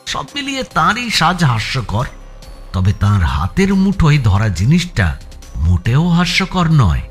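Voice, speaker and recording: A man narrating a suspense thriller in a dramatic, expressive audio story style, with music behind him. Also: mid-range voice, unhurried. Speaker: narrator reading a first-person thriller.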